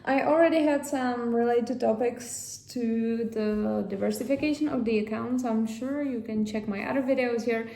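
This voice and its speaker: A woman from Czechia with a Czech accent, chatting casually to viewers as a vlog host in a rambling, halting way. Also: on the high side, vocal fry, mellow. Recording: echoey room.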